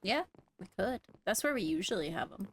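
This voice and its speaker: Woman gamer with very lively intonation. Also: medium pitch, fairly quick, a little hiss or hum. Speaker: Minecraft roleplay player. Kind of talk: casual chat during a game roleplay.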